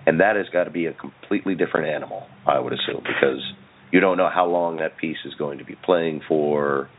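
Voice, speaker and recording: A man with an extremely low voice interviews casually, in halting, chatty phrases. The sound is narrow and muffled, like a phone line. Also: slight background noise. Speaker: podcast interviewer.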